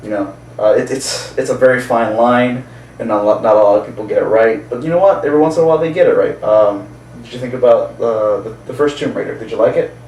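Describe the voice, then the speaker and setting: A man's voice: medium pitch, fast talker, darkish tone. A man talking casually and enthusiastically about movies, often chuckling as he speaks, recorded roomy and distant. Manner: enthusiastic, chuckling as they talk. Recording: roomy, distant mic, faint background noise.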